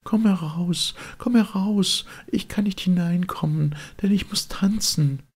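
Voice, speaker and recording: A man's voice: high-pitched, a little slow, darkish tone, slightly rough, voice pushed up, exaggerated voice. A man voicing a poor, pious young girl in a fairy tale, pleading earnestly. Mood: desperate pleading. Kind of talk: fairy-tale audiobook character voice.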